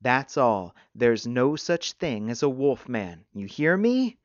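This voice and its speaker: A man's voice: medium pitch, sing-song, slow, no room sound, highs cut off. A man voicing a proud, tender and no-nonsense mother in a storybook reading. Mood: firm, reassuring.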